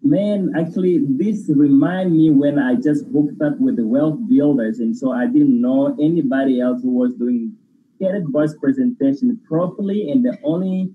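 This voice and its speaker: A man with an Angolan Portuguese accent, whose first language is Portuguese, talks as a grateful, effusive guest. His voice comes over a thin, phone-like line with a faint hiss behind it. He sits at a middle pitch for a man. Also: normal speed. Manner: effusive, grateful, laughs while talking. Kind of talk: casual interview chat.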